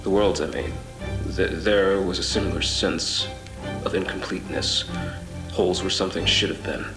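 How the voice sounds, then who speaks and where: An actor playing a haunted, obsessive traveler between twisted worlds in a horror audio drama, speaking in a measured, brooding, literary way with halts. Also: male voice, deep voice, fairly even intonation. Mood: weary, uneasy. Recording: reverberant voice over music. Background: music.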